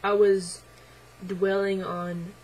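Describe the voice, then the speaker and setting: A young man talking hesitantly to camera in a casual video diary, his voice midway through deepening and a little unsettled, with many ums and likes. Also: little pitch movement, extremely slow, a little hiss or hum, poor audio. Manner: hesitant, lots of ums and likes, quiet and reflective. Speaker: vlogger.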